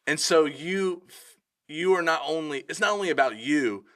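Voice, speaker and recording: A man's voice: a little high, expressive, normal speed, a bit bright. A man co-hosting a casual podcast, affirming his partner and asking leading questions. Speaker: co-host.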